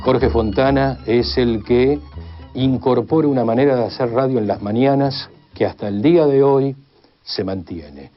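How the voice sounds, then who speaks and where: Argentine man explaining history formally, long lists, mid pitch, slightly muffled. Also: moderate pace, faint music behind. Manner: formal, builds long enumerations. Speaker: expert commentator on radio history. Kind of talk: measured spoken exposition. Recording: slightly dull, band-limited sound.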